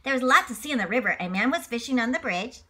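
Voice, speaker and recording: A woman narrates a picture book for children in a playful, chatty way. Her voice is high and bright, with very animated intonation. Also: very fast. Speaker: children's storybook reader. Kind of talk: animated read-along narration.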